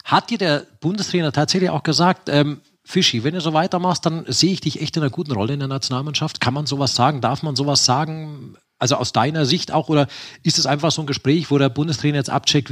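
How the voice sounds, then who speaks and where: A man's voice: a little high, fast, a bit bright. A man co-hosting a casual sports interview, informal and teasing as he speaks. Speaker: podcast co-host.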